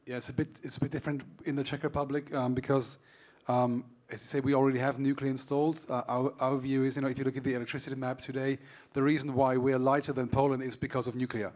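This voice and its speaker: A man's voice: medium pitch, flat delivery, fast, darkish tone. A man who grew up in Vienna, speaking frankly on a conference panel as a solar association representative, often restarting his sentences. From Austria. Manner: frank, many restarts and repeated words. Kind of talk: panel discussion.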